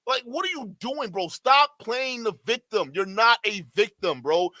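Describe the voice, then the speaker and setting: A man's voice: high voice, animated, a little slow, bright, forceful tone, no room sound, clean studio sound. A man reacting live to a stream, exasperated and incredulous, talking straight to his chat. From Nigeria. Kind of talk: livestream reaction commentary.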